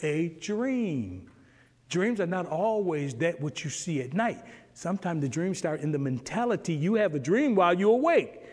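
A middle-aged man teaching a Sunday school lesson in a church sanctuary, preaching with animation and acting out exchanges with God, swinging between loud and hushed. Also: a little high, very animated, normal speed, in a large hall. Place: church sanctuary, standing before a pulpit.

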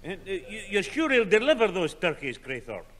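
A tight-fisted, blustering old Scottish grandad for vintage radio comedy. He is high-pitched and swoops widely as he rants. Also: very animated, fairly quick, fairly bright tone, full character voice. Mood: grudging, blustering. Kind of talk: 1960s radio sitcom acting.

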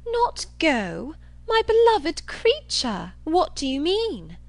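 A woman's voice: fairly high pitch, very animated, normal speed, no room sound, pitched above the performer's own voice. A woman voicing a gushing, theatrical young lady who is effusive and pushy, in a storybook reading. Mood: coaxing. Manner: effusive, exaggerated endearments.

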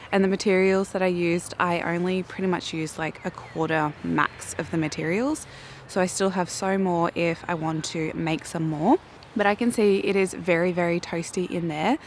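A young woman with an Australian accent and a croaky morning voice, chatting casually and self-mockingly to camera in her backyard garden. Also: on the low side, a little hiss or hum. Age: young adult. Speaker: flower farming vlogger. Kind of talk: casual vlog talk to camera.

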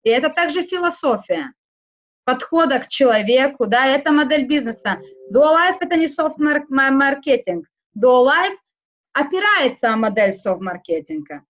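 A woman whose first language is not Russian, speaking haltingly in a casual interview, with many fillers and restarts. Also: sits high, animated, lo-fi sound. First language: not Russian; schooled outside Russian. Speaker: interview guest.

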